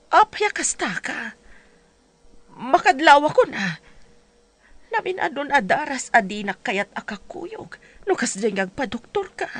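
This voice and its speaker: A woman's voice: high-pitched, exaggerated voice. A woman playing a doting, worried older mother who fusses and pleads, with an Ilocano accent, in a radio-style drama. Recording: music behind the voice.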